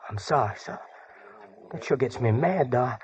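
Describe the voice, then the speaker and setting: An actor playing an eager, trusting, hot-headed young gold prospector in an old-time radio western, talking in earnest country grammar. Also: male voice, medium pitch, expressive, moderate pace, darkish tone, close to an everyday voice. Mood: earnest, indignant. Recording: dull, noisy old radio recording.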